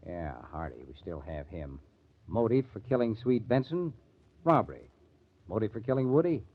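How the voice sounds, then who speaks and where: A man's voice: fairly low pitch, lively intonation, moderate pace. A man acting a sardonic police lieutenant in an old-time radio crime drama, needling and interrogating. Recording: dull vintage radio recording.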